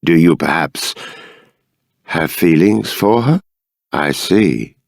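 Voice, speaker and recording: Middle-aged video game monarch: lowish voice, dry close sound, formal, dignified, deliberate. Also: male voice, gravelly, dry recording, heavily acted. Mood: reflective, earnest. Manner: regal, formal, measured, deliberate. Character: dignified, stern but fair middle-aged fantasy king. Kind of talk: theatrical scripted game dialogue.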